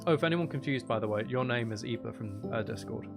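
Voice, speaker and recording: A man's voice: medium pitch, fast, gravelly, music in the background. A man doing casual live gameplay commentary, rambling and thinking aloud as he chats with viewers. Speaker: video game livestreamer.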